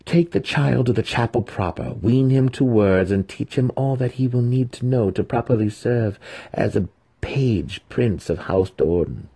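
Man voicing a stern, menacing dark elf matriarch. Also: mid-range voice, animated, creaky, breathy edge, pitched above the performer's own voice, full character voice. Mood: stern command. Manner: commanding, deliberate, menacing.

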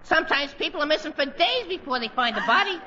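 A man's voice: very high voice, expressive, fast, pushed and bright, heavily acted. A young male actor playing an oblivious, morbidly melodramatic teenage sidekick in 1940s radio comedy style. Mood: cheerfully morbid. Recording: very noisy, dull 1940s radio broadcast.

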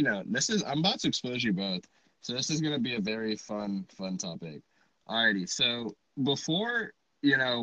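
A man's voice: mid-range voice, sharp tone, dry recording, rough recording. A young man from Texas hosting a casual podcast chat, playful and joking, laughing often. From Dallas, Texas. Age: young adult. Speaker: podcast host.

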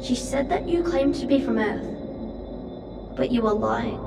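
A woman's voice: fairly high pitch, animated, slow, creaky, background noise, full character voice. Distant, echoing accusing woman. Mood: suspicious. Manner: accusatory, intense. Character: accusing, distrustful, commanding woman in a haunting vision. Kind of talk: audio fiction drama acting. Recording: distant, echoing voice over music. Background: dramatic music.

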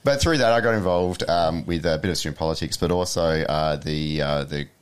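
Man, very deep voice, quick relaxed storytelling, lots of ums. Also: clear, resonant tone. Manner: relaxed anecdotal, with many fillers. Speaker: running club co-president, guest. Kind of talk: casual podcast interview.

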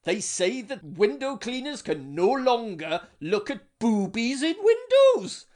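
A man's voice: huge pitch swings, takes it slowly, a little mellow, heavily acted. A man doing a pompous Welsh union boss. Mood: grandiose. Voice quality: booming, grandiose rally oratory. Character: pompous Welsh male trade union leader orating. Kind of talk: sketch comedy performance.

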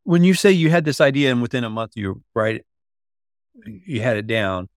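Man, mid-pitched voice, podcast interviewer, casual, inquisitive, stop-start phrasing, dry close sound. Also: big pitch swings, no room sound. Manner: curious, halting, rephrases questions mid-sentence.

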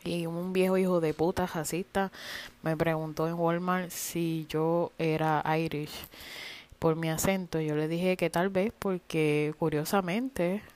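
A woman's voice: on the low side, fairly even intonation, a little slow, darkish tone. A woman from Puerto Rico with a Puerto Rican accent, hosting a casual solo podcast, rambling with many fillers and some cursing. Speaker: podcast host.

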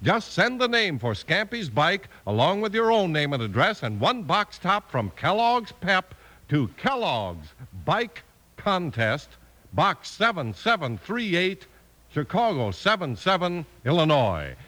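A man announcing in scripted, emphatic 1950s radio style, as a show narrator and pitchman. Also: big pitch swings, a little slow, pushed and bright. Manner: emphatic, promotional.

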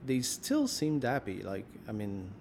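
A man's voice: mid-range voice, wildly swooping pitch, a little slow, vocal fry, a little mellow, a little hiss or hum. Rambling male interviewer. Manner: rambling, thinks aloud with many "like"s. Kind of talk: casual conversational interview.

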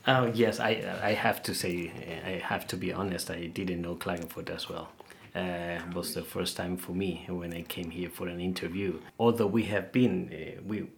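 Deep gravelly Venezuelan-born man. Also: normal speed, slight background noise. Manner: animated, repeats words while searching, laughs easily. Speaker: interview guest, geologist. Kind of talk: casual conversation.

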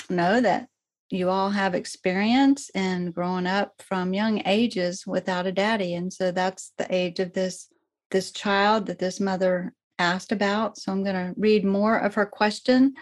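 Woman podcast host, gentle and conversational, mid pitch. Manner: gentle, reads a listener's letter aloud. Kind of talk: faith-based podcast conversation.

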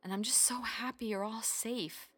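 A woman's voice: on the high side, steady tone, normal speed, fairly bright tone, slightly rough. An actress playing a flighty, distracted middle-aged mother in a radio play, speaking hesitantly and vaguely. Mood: flustered, fond.

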